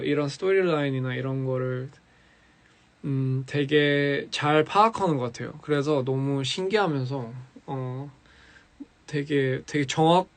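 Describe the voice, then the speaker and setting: A young man with a raspy, hoarse voice, chatting casually as a musician on a live stream and switching between English and Korean. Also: medium pitch, no room sound. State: hoarse after a recording session.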